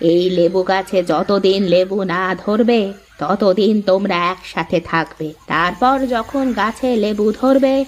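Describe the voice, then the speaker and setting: A woman voicing an elderly, patient mother-in-law who moralizes in a measured, instructive way in a cartoon. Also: medium pitch, a little hiss or hum, natural voice. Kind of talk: dubbed moral cartoon dialogue.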